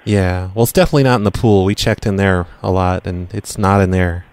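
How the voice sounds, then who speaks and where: A man with a fairly low voice is making a casual prank call. He sounds nonchalant and plays innocent, yet keeps pressing his point insistently. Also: fairly even intonation, brisk, darkish tone, smooth, no room sound. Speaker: prank caller.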